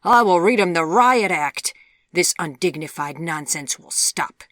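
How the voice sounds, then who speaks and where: A woman's voice: on the low side, lively intonation, unhurried, a bit bright, dry recording, studio quality, voice pushed up. A woman voicing a stern, indignant middle-aged mother in a storybook, scolding her daughter in formal, emphatic words. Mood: outraged.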